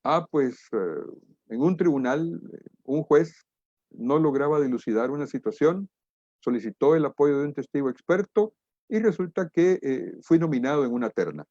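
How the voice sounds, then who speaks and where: A middle-aged man with a Guatemalan accent giving an explanatory webinar lecture in a deliberate, emphatic manner. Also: a little high, lively intonation, mellow, no echo, lo-fi sound. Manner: deliberate, emphatic, rhetorical questions. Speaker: international trade lecturer and expert.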